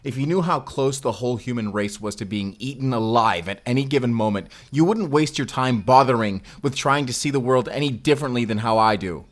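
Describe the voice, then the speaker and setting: A man's voice: medium pitch, a little fast, hardly any pauses, natural voice. A man acting a mysterious vampire slayer, earnest and wryly awkward, in an intimate audio roleplay with casual, confiding delivery. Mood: grim, intense. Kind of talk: cinematic audio roleplay acting, intimate one-sided dialogue.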